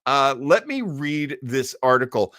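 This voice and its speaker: Slow, animated male livestream host. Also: mid-range voice, dry recording, studio quality. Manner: jokey, rambling asides. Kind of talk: casual chat commentary on old radio.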